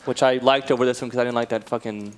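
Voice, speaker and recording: Fast-talking blunt male co-host. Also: mid-range voice, fairly even intonation, crowd noise. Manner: fast, blunt, swears casually.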